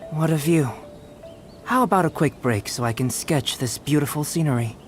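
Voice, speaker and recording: A man's voice: a little high, moderate pace, natural voice. A man voicing a dreamy, curious artistic character in an anime video game. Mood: wondering. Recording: game audio with loud music.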